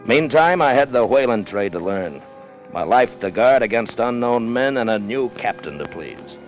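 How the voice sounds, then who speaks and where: An actor playing a hard, cunning first officer on a whaling ship in clipped, tough 1950s radio drama style. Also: male voice, mid-range voice, sing-song, normal speed, close to an everyday voice. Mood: brooding, grim. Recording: muffled old radio recording with music.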